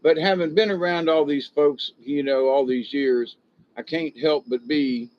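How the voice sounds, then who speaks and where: A man's voice: steady tone, a little slow. Older man with a slight Southern drawl. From born in Ohio, raised in Florida and South Georgia. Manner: folksy and digressive, chuckling at his own jokes, mimics others in a high voice. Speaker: livestream host chatting with viewers. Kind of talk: rambling casual chat. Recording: dull lo-fi audio.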